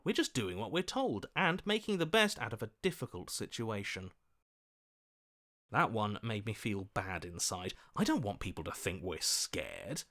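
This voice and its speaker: A man's voice: on the low side, sing-song, moderate pace, a bit bright. A man voicing a scheming, self-serving opportunist in a video game, with a sly, ingratiating, theatrical manner. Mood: placating, evasive. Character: scheming, self-serving, weaselly opportunist voice inside the hero's head.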